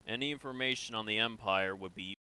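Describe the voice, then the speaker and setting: A man playing a probing, businesslike military interrogator in an improvised sci-fi game role-play, speaking in a measured, matter-of-fact way. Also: lowish voice, bright, forceful tone, clean tone, dry recording, poor audio. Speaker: role-player in an online game.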